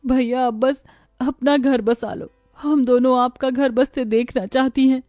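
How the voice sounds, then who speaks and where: Brief: a woman playing earnest young cartoon sister, pleading and warm-toned. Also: high voice, steady tone, unhurried, dry recording, faint music behind, voice pushed up. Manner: pleading, emotional. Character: two poor young sisters, tender and tearful. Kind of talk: cartoon voice acting.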